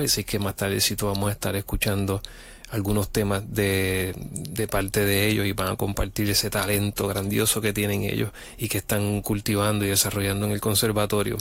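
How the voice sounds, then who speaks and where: A man hosting a relaxed interview with music students, asking questions and adding comments with many small restarts. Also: lowish voice. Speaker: interview host. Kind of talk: casual interview.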